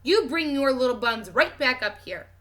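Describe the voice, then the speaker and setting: Woman as a bright-voiced, exasperated young mum. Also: sits high, expressive, moderate pace. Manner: playful scolding. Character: affectionate, exasperated mother of a toddler.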